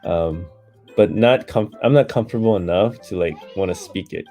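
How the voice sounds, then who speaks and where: A man hosting a livestream, chatting and reading comments in a soft, hesitant way with lots of fillers, low on energy and sleepy. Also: moderate pace, faint music behind. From United States. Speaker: livestream host.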